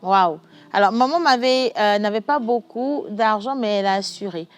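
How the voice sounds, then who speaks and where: A woman's voice: medium pitch, normal speed, faint music behind. A woman hosting a studio talk show in Togolese-accented French, chatting animatedly and reading viewer messages aloud. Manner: animated, laughing, shifts from chatty to soft.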